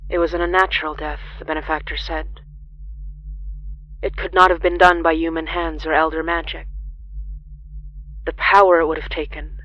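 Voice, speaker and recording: A narrator reading a scripted horror story in a solemn, deliberate way with dramatic pauses. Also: mid-range voice, little pitch movement, highs cut off, a little hiss or hum. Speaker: narrator of a horror fiction podcast.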